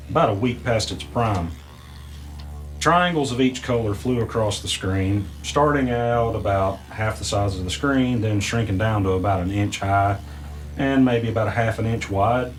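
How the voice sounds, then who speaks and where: A man acting as the weary narrator of a mystery audio drama, recounting an arcade ordeal in a measured, halting way. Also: lowish voice, close to an everyday voice, rough recording. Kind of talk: first-person audio drama narration with dialogue.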